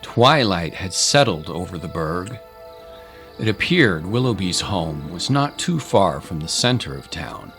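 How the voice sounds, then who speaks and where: A man reads a scripted story as its narrator. His voice is very deep, and there is clear music underneath the narration. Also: a bit bright.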